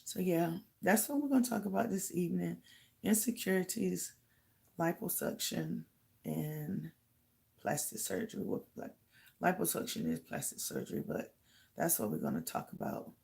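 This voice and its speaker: A middle-aged woman with a slightly raspy voice chatting casually to live viewers as host, halting and pausing as she goes. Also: fairly low pitch, big pitch swings, dark tone. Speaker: host of a livestream talk channel.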